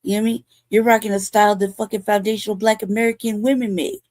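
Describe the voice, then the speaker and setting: A woman's voice: mid-range voice, level tone, quick pace, a little mellow, dry recording, studio quality. A woman hosting a show, talking in blunt, profane, rambling commentary and laughing often. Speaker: show host.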